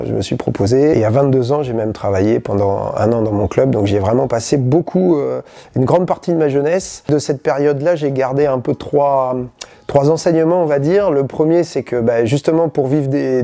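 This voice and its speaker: A man's voice: medium pitch, creaky, mellow. A man in his forties from Normandy, a schoolteacher and candidate answering interview questions, hesitant with many fillers and restarts. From Normandy, France. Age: 40s.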